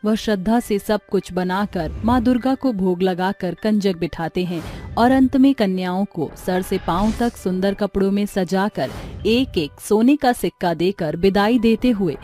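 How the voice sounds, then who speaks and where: A woman's voice: mid-range voice, a little slow, mellow, soft background music. A woman giving scripted storytelling narration for a moral tale.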